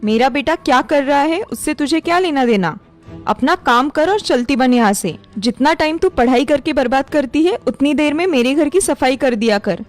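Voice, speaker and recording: A woman's voice: high-pitched, expressive, moderate pace, soft background music. A woman voicing a scornful bossy employer. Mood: scolding. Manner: scolding and sarcastic.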